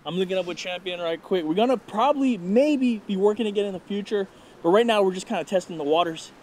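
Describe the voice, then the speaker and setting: A man vlogging outdoors, chatty and excited, talking straight to his viewers. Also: high voice, quick pace, a little hiss or hum. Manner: excited, chatty, keeps addressing viewers as guys. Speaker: vlogger hunting iguanas. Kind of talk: casual vlog narration to viewers. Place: outdoors in a neighborhood field.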